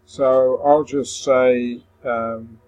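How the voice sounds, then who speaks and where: A man presenting a software tutorial, explaining step by step in a hesitant way. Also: mid-range voice, level tone, extremely slow, faint music behind, low-quality recording. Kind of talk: software screen-recording walkthrough.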